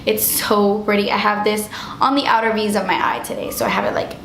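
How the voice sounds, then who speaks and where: A woman's voice: medium pitch, very animated, brisk, a bit bright, roomy sound, a little hiss or hum, rough recording. A young woman reviewing makeup in a chatty, gushing way, losing her voice as she gets sick. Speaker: beauty vlogger. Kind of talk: casual product review.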